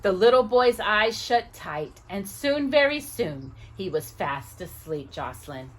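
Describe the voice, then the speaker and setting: A woman's voice: mid-range voice, very animated, unhurried, poor audio. A woman reading a children's picture book aloud expressively, with casual asides to the listener.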